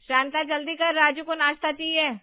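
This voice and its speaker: Harsh, commanding older mother-in-law played by a woman for a family story; high voice, dry lo-fi sound. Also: flat delivery, normal speed, no echo, close to an everyday voice. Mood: scolding, bossy. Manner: scolding, commanding. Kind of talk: Hindi family drama storytelling.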